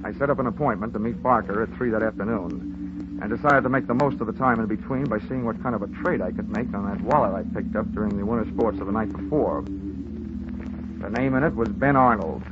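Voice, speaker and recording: An actor playing a world-weary, hard-boiled insurance investigator in a 1950s radio drama, with wry, sardonic, slangy delivery. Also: male voice, lowish voice, a little fast, lightly acted. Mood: wry, matter-of-fact. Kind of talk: 1950s radio drama acting with first-person narration. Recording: muffled, noisy vintage radio recording.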